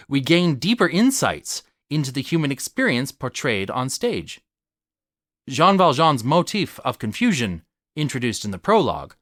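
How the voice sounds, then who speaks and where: A man's voice: mid-range voice, a bit bright, no room sound, clean studio sound. A man narrating a scripted essay in an articulate, formal, expository manner.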